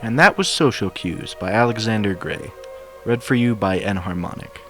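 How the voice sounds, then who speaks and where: A man with a fairly deep voice reads a story aloud. His delivery is scripted narration, and music plays clearly behind him. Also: animated, normal speed, a bit bright. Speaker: fan fiction reader. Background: background music.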